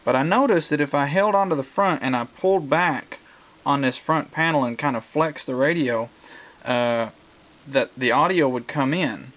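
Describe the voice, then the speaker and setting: A man talking casually through a hands-on tutorial, explaining things in a halting way as he thinks aloud. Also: medium pitch, fairly bright tone. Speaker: hobbyist video presenter.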